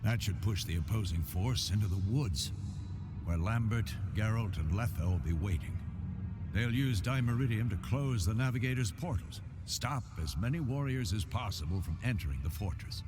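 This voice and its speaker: A low male voice with plenty of melodic movement, for a seasoned older fortress commander in a video game voiced by a male actor. He is measured, practical and authoritative, instructing others. Music runs clearly behind him. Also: lively intonation, lightly acted. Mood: commanding. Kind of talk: video game cutscene acting.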